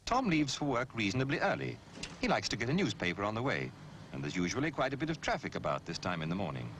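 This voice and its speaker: A man's voice: lowish voice, big pitch swings, fairly bright tone. British guy narrating an old 60s safety film, very up and down delivery, teacherly, noisy soundtrack w vehicles behind. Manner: instructive, measured, clearly phrased. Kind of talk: 1960s public information film narration.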